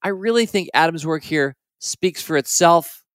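Upbeat higher-voiced male podcast host. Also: expressive, normal speed, fairly bright tone, dry recording, clean studio sound. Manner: theatrical dramatic recitation of a parody poem. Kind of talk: reading a listener mailbag aloud.

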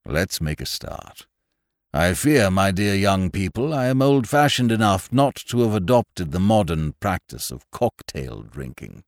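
A man's voice: sits low, very animated, a little slow, no echo, clean studio sound, voice pushed up, exaggerated voice. A man voicing a courtly, old-fashioned elderly English solicitor in an audiobook, mild and genial, with an English accent and a habit of repeating his phrases. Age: older. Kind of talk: audiobook character voice.

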